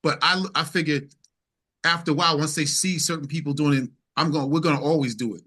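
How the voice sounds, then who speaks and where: Bright-voiced man, emphatic interview guest. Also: a little high, steady tone, fast. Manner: emphatic, repeats phrases as he builds points. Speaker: interview guest, singer-songwriter. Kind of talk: casual interview conversation.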